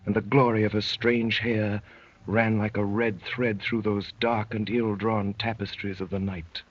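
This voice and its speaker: A man acting a courteous, wry gentleman poet who narrates his strange adventure in measured, literary phrases, in 1930s radio drama style. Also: fairly low pitch, flat delivery. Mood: grave, wondering. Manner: measured, literary, dryly polite. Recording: muffled, worn 1930s radio recording.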